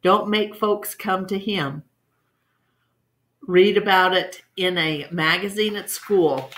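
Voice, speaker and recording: A woman's voice: medium pitch, slow, fairly bright tone. A woman voicing an earnest, hopeful country boy with a plain-spoken, eager manner in a storybook.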